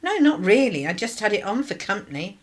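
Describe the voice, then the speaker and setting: An actress playing a weary, sarcastic 1950s British working-class wife in a radio drama, with a clipped, irritable manner. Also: female voice, medium pitch, sing-song, fairly quick, lightly acted. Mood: guarded, polite.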